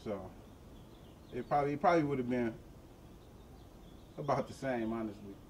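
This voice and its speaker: A man's voice: mid-range voice, moderate pace, distant mic, a little hiss or hum. An American man giving casual, plain answers in a documentary interview.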